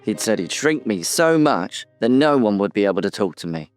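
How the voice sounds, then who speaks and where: A man's voice: lowish voice, sing-song, moderate pace, dry recording, soft background music. A man playing a timid, fearful tiny storybook character in an audio drama.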